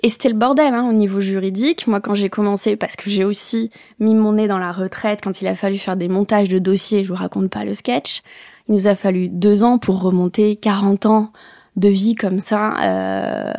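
A woman's voice: mid-range voice, moderate pace, gravelly, darkish tone, no room sound, clean studio sound. A middle-aged woman from France talking reflectively as an interview guest, with many hesitations. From France, born in Bayonne. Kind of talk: casual interview talk.

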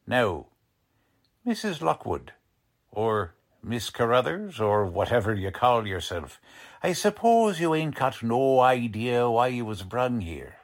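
An actor playing a gruff, sarcastic, tough police lieutenant with an American accent in 1940s radio style, blunt and slangy. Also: male voice, medium pitch, sing-song, takes it slowly. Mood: sarcastic, bullying.

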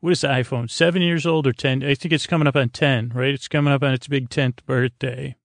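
An American man with a creaky, gravelly voice hosting a bedtime podcast in a meandering, halting monologue that trails off and circles back. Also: mid-range voice, steady tone, no echo. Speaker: host of a bedtime sleep podcast.

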